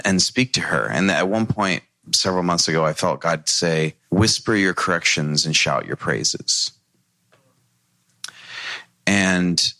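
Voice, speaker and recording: A man's voice: sits low, pushed and bright, no echo. A man speaking as a panel guest, earnest and reflective as he talks about his own experience. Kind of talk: reflective podcast discussion.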